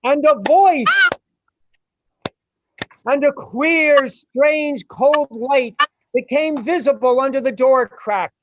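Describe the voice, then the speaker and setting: A woman narrating a scripted radio play in deliberate short phrases, on a dull lo-fi recording. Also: high voice, background noise. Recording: dull, dry, lo-fi sound.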